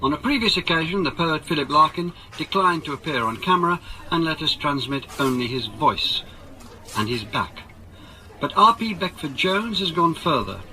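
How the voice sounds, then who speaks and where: Male TV arts host: authoritative, scripted, lighter-pitched, keen-edged tone. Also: animated, bright, forceful tone, rough recording. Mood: formal. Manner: formal, deliberate. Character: authoritative, formal male television arts presenter. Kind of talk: scripted broadcast presentation.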